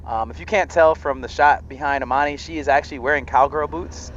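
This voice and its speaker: A man's voice: a little high, a little fast, fairly bright tone. A man hosting a casual gear test video, bantering and teasing with mock exasperation over a headset. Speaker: host of a gear review video. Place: outdoors on an urban street, earlier inside a studio. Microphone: wireless intercom headset. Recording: muffled intercom audio with street noise.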